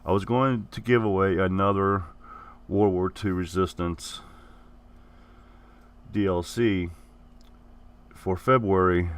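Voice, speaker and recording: Gaming host, low male voice, a bit rough from the flu, rambles and restates himself. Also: fairly even intonation, takes it slowly, pauses often. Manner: rambling, repeats and corrects himself. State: hoarse, getting over the flu. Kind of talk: casual giveaway announcement.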